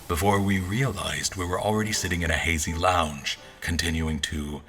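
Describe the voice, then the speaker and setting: A man's voice: sits low, steady tone, moderate pace, a bit bright, a little hiss or hum. A man playing a haughty royal officer, narrating an audio drama in a dry, formal manner. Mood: disdainful. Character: haughty, observant male royal officer recounting events. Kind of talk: scripted first-person narration.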